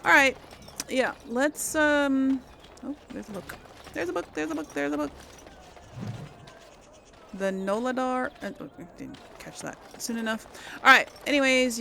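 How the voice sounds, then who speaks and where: A woman's voice: high voice, unhurried, gravelly, pauses often, pushed and bright, slight background noise. A woman giving casual video game commentary as a host, chatty and hesitant as she thinks aloud.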